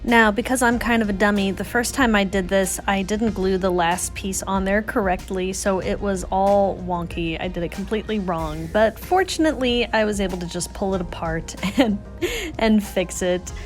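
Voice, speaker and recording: A woman's voice: medium pitch, fairly even intonation, moderate pace. Chatty woman narrating crafts over music. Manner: chatty, self-deprecating. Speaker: craft vlogger, host. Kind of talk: casual craft tutorial voice-over. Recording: music under the voice.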